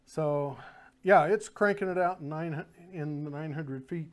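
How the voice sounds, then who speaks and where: Older male host, slightly raised pitch, friendly prompting, lapel mic in a small studio. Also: unhurried. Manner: friendly, prompting questions, salesy. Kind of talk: casual product chat. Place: small indoor studio with guns on wall.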